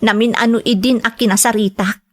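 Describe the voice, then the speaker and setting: A woman's voice: on the high side, steady tone, a little fast, natural voice. Resentful young woman, hurt elder sister acted. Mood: bitter, resentful. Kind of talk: radio drama acting.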